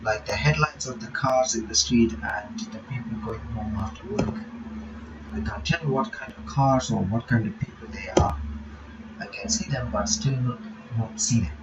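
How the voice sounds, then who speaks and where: A man's voice: medium pitch, recorded from a distance, highs cut off, loud music behind the voice. Casual man reading a game aloud. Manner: casual, thinking aloud, halting. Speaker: game reaction streamer. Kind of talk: reading a visual novel aloud with commentary.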